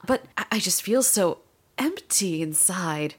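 A woman's voice: fairly low pitch, lively intonation, unhurried, breathy edge. A woman voicing a theatrical, melodramatic queen of the underworld in a comedic audio story. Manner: melodramatic, sighing. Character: dramatic gorgon queen, theatrical and moody.

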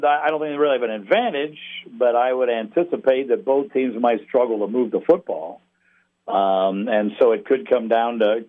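Male sports announcer as phone guest, folksy and rambling, casual analysis, narrow tinny line. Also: mid-range voice. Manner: folksy, rambling, halting with repeated words. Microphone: phone call.

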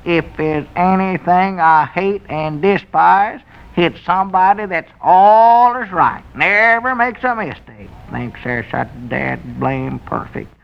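An actor playing a simple-minded, suspicious old country storekeeper in an old-time radio comedy, with a rural Southern accent and querulous, naive talk. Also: male voice, high-pitched, lively intonation, slow pace, creaky, clean tone, heavily acted. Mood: querulous, doubtful. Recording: muffled vintage radio recording.

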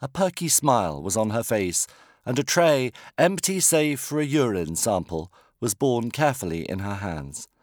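A British man reading a comic novel as an audiobook narrator, measured and wry. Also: mid-range voice, sing-song, dry recording, studio quality. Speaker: author reading own novel.

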